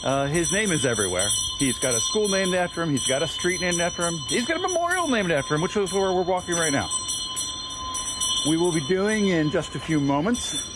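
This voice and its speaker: American guy, kinda high voice, earnest livestream host talking to viewers outside, really loud background noise with bells. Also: big pitch swings, fairly quick. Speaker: livestream host and organizer of a public reading. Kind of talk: informal livestream address to viewers and a small crowd. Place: outdoors on a town street. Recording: very noisy outdoor livestream with ringing bells. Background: loud ambient noise and a ringing bell.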